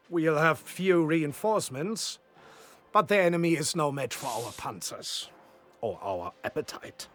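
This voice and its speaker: War game casting: resolute panzer commander, higher-pitched and sharp, addressing his men. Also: no room sound, heavily acted. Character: commanding German panzer officer rallying outnumbered troops. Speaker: military commander. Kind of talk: dramatic game cutscene speech.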